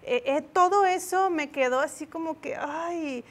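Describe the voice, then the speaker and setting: A woman's voice: high voice, a little slow. Mexican woman giving reflective news commentary. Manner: conversational, reflective, with restarts.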